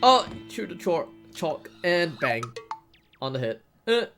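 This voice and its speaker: Someone doing casual speedrun commentary while playing, excitable and prone to playful exclamations and acted-out reactions. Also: mid-range voice, slow, over music.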